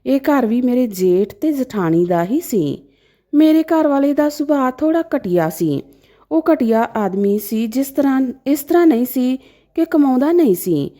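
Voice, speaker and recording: A woman's voice: on the high side, mellow. A woman narrating a scripted family story in the first person, in a dramatic storytelling style.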